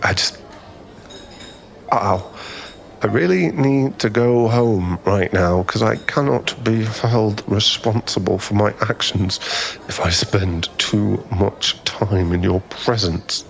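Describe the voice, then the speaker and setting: A man playing a flustered, smitten young man in an ASMR boyfriend roleplay, halting and stammering as he rambles nervously to a crush. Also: on the low side, creaky, poor audio. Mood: flustered, overwhelmed.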